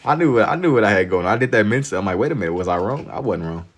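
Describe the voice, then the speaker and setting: A man in his twenties with a very deep voice hosts a livestream and chats with viewers. He talks in relaxed slang and turns loud and laughing when chat teases him. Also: racing. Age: 20s. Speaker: livestream host. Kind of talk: casual chat with viewers while drawing.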